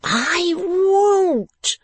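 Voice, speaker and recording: Shrill, furious scolding wife, acted by a narrator. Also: lively intonation, extremely slow, dry recording, exaggerated voice. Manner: screaming, scolding, accusing. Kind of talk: audiobook character acting.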